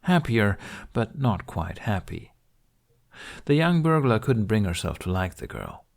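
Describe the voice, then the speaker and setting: A man's voice: lowish voice, big pitch swings, unhurried, gravelly, a little mellow. A man narrating a fantasy audiobook, reading scripted storytelling prose.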